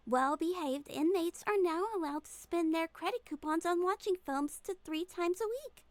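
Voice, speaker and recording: A woman voicing a small, gentle, girlish head nurse who is polite and earnest, in a video game fan dub. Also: very high voice. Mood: chatty, pleased.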